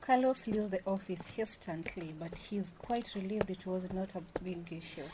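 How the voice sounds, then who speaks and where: A woman's voice: medium pitch, normal speed. A woman reading scripted narration for a radio drama. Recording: very loud background noise.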